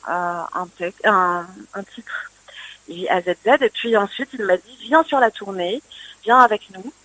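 A woman's voice: mid-range voice, unhurried, bright, forceful tone, rough recording. A woman singer-songwriter being interviewed on the radio, hesitant and full of fillers and restarts. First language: French.

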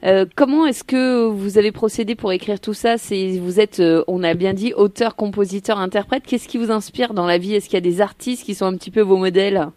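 A woman's voice: medium pitch, fairly quick, hardly any pauses, mellow. A woman hosting a local radio interview, promoting an event in an upbeat way and reading out its details. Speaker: radio host.

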